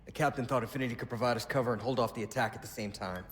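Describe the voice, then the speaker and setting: An actor playing an earnest, weary military first officer in a video game, with a brisk delivery. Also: male voice, mid-range voice, steady tone, close to an everyday voice. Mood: urgent. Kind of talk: video game cutscene acting. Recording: long reverb, faint background noise.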